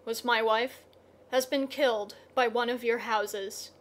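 A woman's voice: on the high side, fairly even intonation, unhurried, lightly acted. Storybook farmer read by a woman: ordinary mortal man, plain-spoken, loving husband. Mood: quiet, bitter.

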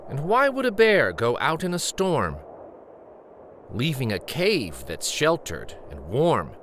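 A man in his forties reading a children's picture book aloud in an expressive, gentle storytelling way. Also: fairly high pitch, takes it slowly, slight background noise. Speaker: children's story reader and host.

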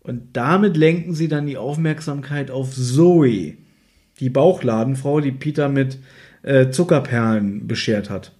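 A man's voice: medium pitch, a little slow, darkish tone. A man co-hosting a podcast in casual, conversational retelling and commentary. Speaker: podcast co-host. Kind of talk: casual chat, retelling and critiquing a story.

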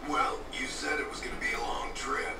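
A man's voice: medium pitch, little pitch movement, moderate pace, lightly acted. An actor playing a brash, cocky male traveler in a video game cutscene, talking in cheeky slang. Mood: casual. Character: brash, cocky adult male traveler, irreverent family man. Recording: echoey, distant, under loud game music.

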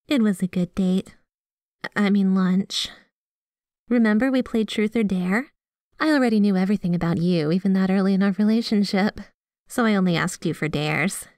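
A woman's voice: mid-range voice, normal speed, pauses often, darkish tone, close to an everyday voice. A sweet yandere girlfriend, acted by a woman for an ASMR roleplay, with a mid-range female voice. She talks affectionately and possessively, as if to her beloved. The sound is dry and free of room echo. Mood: fondly nostalgic. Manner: affectionate, giggly, playfully possessive. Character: sweet, obsessively devoted, jealous yandere girlfriend. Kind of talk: ASMR roleplay, scripted one-sided conversation.

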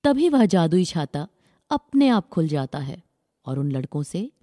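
A woman's voice: low voice, big pitch swings, measured pace, dark tone, no room sound, studio quality. A woman narrating a scripted children's story.

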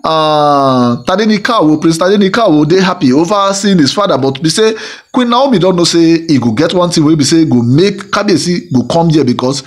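A man's voice: on the high side, animated, fairly quick. A middle-aged man speaking with a Nigerian Pidgin accent, whose first language is Igbo, delivering a rambling celebrity gossip monologue full of fillers and repeated phrases. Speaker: gossip channel host.

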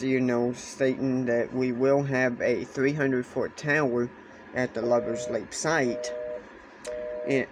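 A man giving a casual explainer demonstration in a halting way, often repeating phrases, recorded on a handheld camera indoors. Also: mid-range voice, rough recording. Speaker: hobbyist presenter. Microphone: handheld camera or phone. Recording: clear steady background noise, handheld camera.

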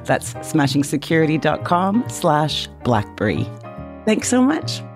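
A woman co-hosting a podcast with a hoarse, cracky voice, chatty and quick to laugh. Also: sits low, very animated, soft background music. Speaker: podcast co-host. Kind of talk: podcast interview and outro.

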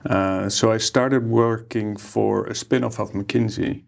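A man in his forties with a low, gravelly voice tells his story at an easy, unhurried clip. He pauses often on small hesitations, sounding settled and candid in a seated interview with a table microphone. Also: fairly even intonation. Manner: easygoing, hesitant with many uhs. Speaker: plastic surgeon guest. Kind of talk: relaxed interview conversation. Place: ornate room, seated interview. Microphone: studio microphone on table.

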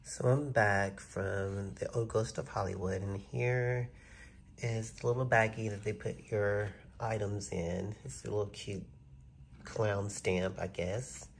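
Chatty thirties male vlogger, stuffy and nasal, low and gravelly, phone selfie sound. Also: fairly even intonation, slow pace, slight background noise. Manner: chatty, enthusiastic, addresses viewers directly. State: nasal from a cold. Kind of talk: casual vlog talk to camera. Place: bedroom indoors, later outdoors on a sidewalk. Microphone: phone at arm's length.

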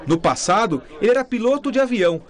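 Young brazilian guy reporter reading a news piece, high voice, very animated intonation. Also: fast, a faint crowd. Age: young adult. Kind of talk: scripted news report narration.

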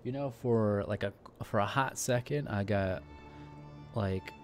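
A laid-back man gives casual gameplay commentary in a lowish voice. He takes his time and thinks aloud, with soft music behind him. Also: a little slow. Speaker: gaming video host. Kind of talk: casual let's play commentary. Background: faint game music.

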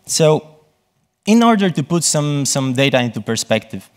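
A man gives a conference presentation from a stage, often pausing and rephrasing himself. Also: fairly high pitch, sing-song. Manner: halting, self-correcting delivery. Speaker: conference speaker.

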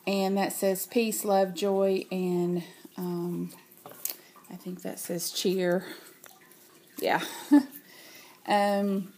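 A woman's voice: medium pitch, flat delivery, extremely slow, long pauses, a little mellow, slight background noise. A woman with a cold and a nasal voice, chatting casually as she shows handmade cards at home, hesitating often with ums. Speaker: craft vlogger. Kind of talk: casual show-and-tell. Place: home, at a table.

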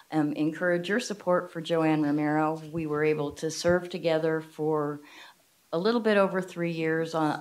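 Low-voiced woman, polite and hesitant. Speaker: outgoing community association board member. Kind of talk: public comment speech. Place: meeting room, seated at a table. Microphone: table microphone.

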